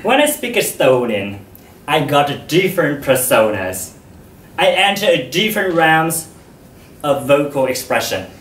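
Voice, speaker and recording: A man giving a slow, deliberate public talk to an audience, pausing often, his first language Vietnamese. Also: on the high side, a bit bright, slight background noise. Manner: slow and deliberate, with frequent pauses. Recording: roomy hall, voice from far off.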